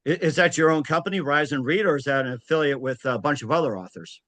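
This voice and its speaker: A man's voice: on the high side, fast, a bit bright, dry recording. A man hosting a talk show, chatting casually and putting informal questions to his guest. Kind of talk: casual interview chat.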